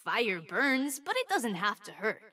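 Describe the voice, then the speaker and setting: Cheerful kid fire mage boy for a game, high voice, slow delivery, youthful n earnest. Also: sing-song, sharp tone, far from the mic, heavily acted. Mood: earnest, wistful. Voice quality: light, youthful. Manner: exuberant, earnest. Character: cheerful, brave twelve-year-old fire-mage boy, homesick but wholesome. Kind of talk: video game character voice lines.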